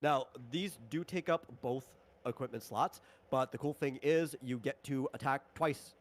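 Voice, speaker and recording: A man's voice: medium pitch, unhurried. A man acting as game master, talking through the rules and equipment of a tabletop game in an unscripted way.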